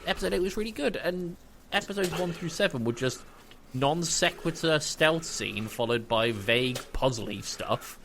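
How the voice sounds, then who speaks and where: A man's voice: on the high side, expressive, normal speed, a bit bright, a little hiss or hum. A man giving his opinions casually as a podcast co-host.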